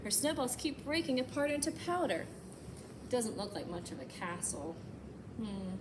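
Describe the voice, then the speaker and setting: Higher-pitched woman reading a children's story, noisy recording. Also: normal speed. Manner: animated, emphatic delivery aimed at young children. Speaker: library storytime host reading a picture book aloud. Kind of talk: expressive children's read-aloud with chatty asides.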